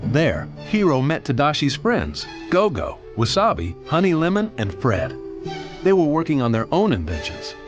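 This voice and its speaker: A man reading a scripted children's read-along storybook as its narrator. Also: a little high. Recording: music bed behind the voice. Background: background music.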